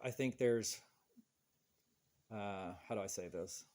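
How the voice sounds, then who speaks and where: A man's voice: fairly low pitch, slow pace, a little mellow. A man in his forties hosting a podcast, chatting casually and telling stories in a rambling way. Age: 40s. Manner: rambling, storytelling with quoted voices. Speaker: podcast host. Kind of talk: casual chat.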